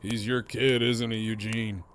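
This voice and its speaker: A man playing a cocky, teasing, foul-mouthed prisoner in an audio drama, with a smug, sardonic drawl and a gravelly voice. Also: lowish voice, level tone, a little slow, a bit bright, slight background noise. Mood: smug, needling. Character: cocky, teasing, profane male prisoner with a grin.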